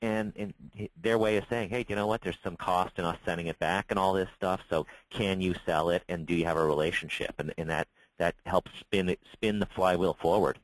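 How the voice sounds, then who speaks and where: A man's voice: deep voice, fairly even intonation, a little fast, no room sound, rough recording. A middle-aged man, a trade association spokesman, answering webinar questions in a conversational way with many restarts. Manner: conversational, frequent restarts and repeated words.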